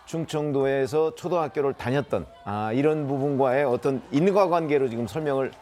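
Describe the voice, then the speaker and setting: A man's voice: medium pitch, fairly quick, a little mellow, a little hiss or hum. A man commenting on politics as a studio panelist, talking in a discursive way with many fillers.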